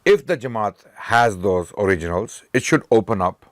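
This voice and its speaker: A man with a low voice, whose native language is Urdu, presses his point in a panel discussion. He keeps repeating his questions insistently, and the recording is dry and close. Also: expressive, moderate pace, no echo.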